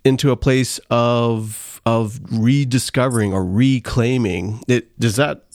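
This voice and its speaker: A man hosting an interview, asking questions in a measured way and searching for words as he goes. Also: medium pitch, fairly even intonation, a little mellow, dry recording, studio quality. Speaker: podcast host.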